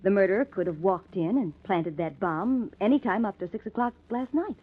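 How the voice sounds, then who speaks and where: A woman's voice: mid-range voice, lively intonation, normal speed, narrow, tinny audio, close to an everyday voice. An actress in an old-time radio crime drama playing a man's familiar, inquisitive woman friend, with a coaxing way of talking. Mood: reasoning. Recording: thin, band-limited vintage radio recording.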